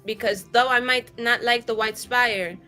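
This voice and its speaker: Casting: irreverent captive elf mage a woman acting, higher-pitched, slangy, rambling and sardonic, improvised tabletop game with faint music. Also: fairly even intonation, unhurried, bright, forceful tone. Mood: mock dutiful. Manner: rambling, sarcastic, slangy and sweary. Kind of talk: improvised in-character roleplay, casual modern speech.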